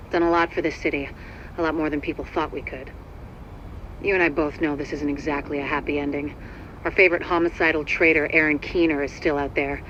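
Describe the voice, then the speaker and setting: An actor playing an earnest, determined ally briefing an agent in a video game, measured, sincere and lightly wry. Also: male voice, a little high, pushed and bright, dull audio, slight background noise. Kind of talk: video game cutscene monologue.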